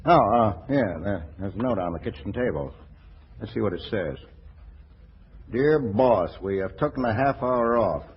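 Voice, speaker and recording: A man plays a brash middle-aged racketeer gone into business, fast-talking in slangy, ungrammatical stammers. His pitch jumps around animatedly. The sound is a dull 1940s radio comedy recording with some hiss. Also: expressive, close to an everyday voice. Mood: wry. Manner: stammering, slangy, ungrammatical tough-guy talk. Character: brash middle-aged ex-racketeer turned brewery boss, streetwise, wheedling.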